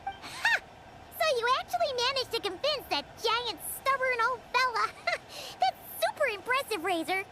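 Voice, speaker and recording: Childlike fairy sidekick for a game: very high, animated, chatty, cheerful. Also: female voice, moderate pace, heavy background noise. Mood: impressed. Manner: refers to self in third person, exclamatory. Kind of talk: video game voice acting. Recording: loud game music behind.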